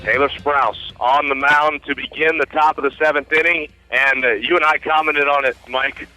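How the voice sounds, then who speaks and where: A man's voice: fairly high pitch, steady tone, moderate pace, sharp tone, faint music behind, poor audio. A man working as a radio announcer, giving live baseball play-by-play in short, descriptive phrases from the ballfield. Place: outdoor ballfield broadcast position.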